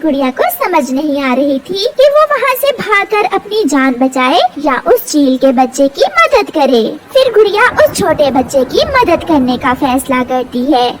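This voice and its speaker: A woman's voice: high voice, sing-song, unhurried, few pauses, heavy background noise. A woman narrating a children's story in a scripted storybook style.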